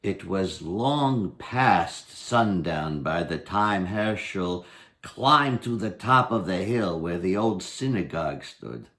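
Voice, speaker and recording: An older man in his sixties reads a storybook aloud in a dramatic style. His pace is slow and unhurried, with very varied intonation. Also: sits low, expressive, a bit bright. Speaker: storybook reader. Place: indoors, home dining room.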